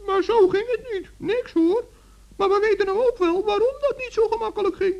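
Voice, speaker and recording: A man playing a small, excitable old forest gnome in a children's radio play, speaking eagerly and earnestly with little stammers. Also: very high voice, moderate pace, vocal fry, highs cut off. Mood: proud, eager. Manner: eager, stammering, gently scolding.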